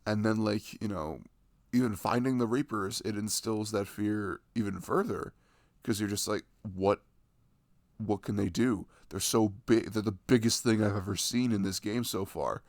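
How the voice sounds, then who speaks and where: A man's voice: fairly low pitch, normal speed, a little mellow, dry recording. A young adult man chatting casually and enthusiastically about video games in a rambling, slangy way, close to the microphone.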